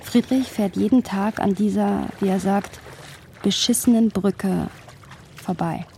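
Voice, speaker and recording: A woman's voice: mid-range voice, a little slow, gravelly, dark tone, a little hiss or hum. An actress playing a reflective, bookish woman who narrates her close friendship in a literary radio drama. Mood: tender, pensive.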